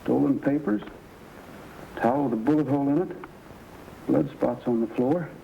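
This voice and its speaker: An actor playing a calm, commanding American cowboy rancher in an old western, earnest and brisk in his orders. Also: mid-range voice, vocal fry, natural voice. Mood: grave, serious. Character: calm, authoritative young cowboy rancher hero. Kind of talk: old western film dialogue acting. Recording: dull, noisy vintage western soundtrack.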